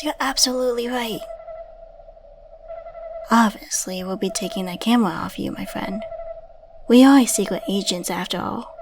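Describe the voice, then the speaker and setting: A woman's voice: on the high side, noisy background. A woman playing a playful, flirtatious female secret agent in a scripted ASMR roleplay, coy and teasing as she addresses the listener. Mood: affectionate teasing, then brisk, wry. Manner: coy, teasing, chatty with tag questions. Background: ambient sound effects.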